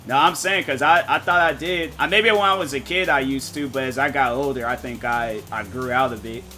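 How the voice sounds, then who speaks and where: A man streaming a game and chatting casually, rambling and thinking out loud with lots of fillers. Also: medium pitch, fairly quick, soft background music. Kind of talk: casual live-stream chat.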